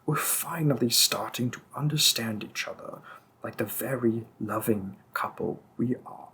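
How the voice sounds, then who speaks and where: A man playing a possessive demon king and devoted lover in a romantic fantasy audio roleplay, often dropping his breathy voice to a whisper. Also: mid-range voice, a little slow, sharp tone, heavily acted. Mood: menacing, possessive.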